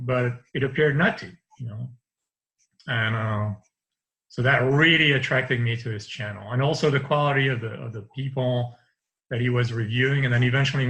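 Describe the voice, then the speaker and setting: Man, 50s, light French accent, bright edgy tone, loose rambling video-call guest. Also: medium pitch, low-quality recording. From France. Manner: rambling, restarts often, light laughs. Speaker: interview guest. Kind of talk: casual conversation over video call. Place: home study with bookshelves. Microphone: video call webcam.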